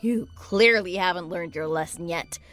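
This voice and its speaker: A woman playing an indignant, sarcastic wife in a dramatized first-person story, with an expressive, exasperated delivery. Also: on the low side, moderate pace, faint music behind, lightly acted. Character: indignant, sarcastic wife at the end of her patience.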